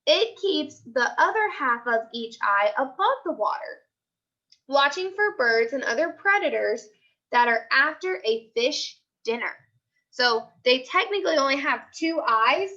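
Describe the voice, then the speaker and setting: High, bright-voiced American woman teaching children. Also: muffled recording. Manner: simple explanations, repeating key points for children. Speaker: elementary school teacher. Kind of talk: teaching read-aloud of a picture book with questions and asides.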